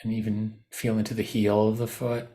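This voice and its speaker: A man leading a guided meditation, giving gentle, unscripted instructions with softened, informal phrasing. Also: on the low side, completely flat delivery, normal speed.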